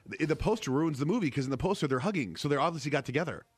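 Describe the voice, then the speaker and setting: A male morning radio host talks fast and jokes with his co-hosts. His voice rises and falls a lot as he goes, moving quickly from one quip to the next. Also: on the high side, breathy edge, no room sound. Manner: quick, lively, joking.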